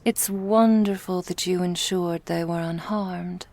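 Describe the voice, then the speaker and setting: A woman playing an imperious female vampire crime boss in an ASMR roleplay, with slow, formal, silky, threatening speech. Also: lowish voice, fairly even intonation, a little mellow. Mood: soft menace.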